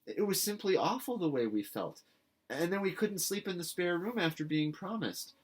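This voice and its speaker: A man's voice: high-pitched, animated, normal speed, slightly rough, pitched above the performer's own voice. A man reading a storybook as an earnest, dramatic orphan girl who pleads in formal, effusive speech. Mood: eager.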